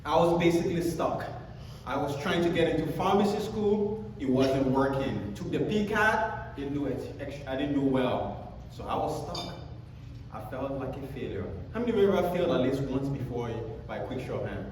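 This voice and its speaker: A man in his thirties from Cameroon with a Cameroonian accent, giving an animated motivational keynote at a conference and telling his story with self-deprecating jokes. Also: mid-range voice, moderate pace, a little hiss or hum. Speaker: conference speaker. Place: large conference hall. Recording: echoey hall, speaker far from mic.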